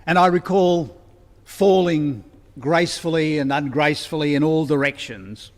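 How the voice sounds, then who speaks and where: Slow preacher in a reverberant hall. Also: male voice, fairly high pitch. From Australia. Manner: deliberate, pausing between phrases. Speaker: minister. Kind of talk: sermon. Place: church, livestreamed service.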